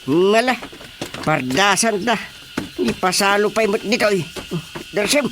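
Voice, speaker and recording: An actor playing an excitable, grumbling Filipino father with an Ilocano accent in a comedic radio drama, exclaiming and groaning. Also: high-pitched, a little slow. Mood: eager.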